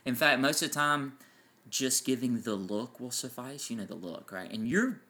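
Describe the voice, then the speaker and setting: A man with a loud voice co-hosting a casual parenting podcast, explaining his points with enthusiasm. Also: mid-range voice, expressive, moderate pace. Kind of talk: casual chat. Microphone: farther from mic than co-host.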